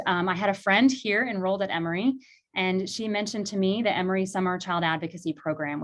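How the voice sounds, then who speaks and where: Low-voiced woman on a video call, earnest and reflective, lots of ums. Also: fairly bright tone. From Illinois. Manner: earnest, reflective, many fillers. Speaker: clinic director. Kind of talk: virtual panel talk.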